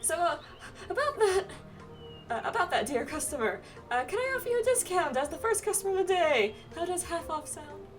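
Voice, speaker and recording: A woman's voice: very high-pitched, big pitch swings, a little fast. A woman voicing a nervous, flustered young male astrologer in a game, hesitant and stammering. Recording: distant mic, game music behind.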